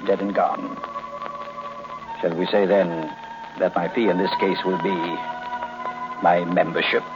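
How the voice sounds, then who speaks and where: Pompous, low-voiced grumpy detective. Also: male voice, flat delivery, a little slow, loud music behind the voice. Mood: self-satisfied. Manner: pompous, formal, curt. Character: brilliant, grumpy, pompous male detective boss. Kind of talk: old-time radio mystery acting. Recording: dull, noisy vintage radio recording.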